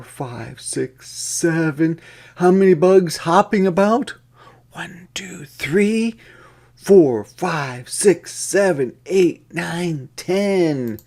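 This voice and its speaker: A man reading a children's counting book aloud in a deliberate, interactive way, with sing-song counting and repetition for young listeners. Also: fairly high pitch, darkish tone.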